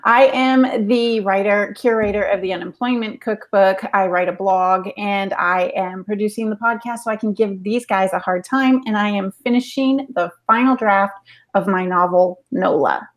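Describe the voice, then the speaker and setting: Woman, medium-pitched voice, casual podcast chat, teasing, playful. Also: moderate pace. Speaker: podcast producer and co-host.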